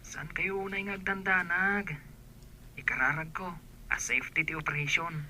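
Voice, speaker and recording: A woman's voice: fairly low pitch, fairly even intonation, normal speed, bright, forceful tone, slight background noise, rough recording. A woman playing a polite, earnest young male student in an Ilocano radio drama, with an Ilocano accent. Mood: surprised, reassuring. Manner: respectful, earnest. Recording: music under the voice.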